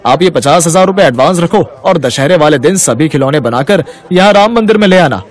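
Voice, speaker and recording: A man's voice: on the high side, lively intonation, a little fast, close to an everyday voice. A man playing a kind young customer who firmly defends the poor, righteous in manner, in a moral-story cartoon.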